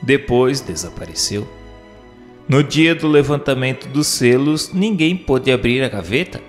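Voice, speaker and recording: A man reading scripted narration in a formal, measured manner, posing questions and commentary like an audiobook narrator. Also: mid-range voice, animated. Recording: long hall reverb. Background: music.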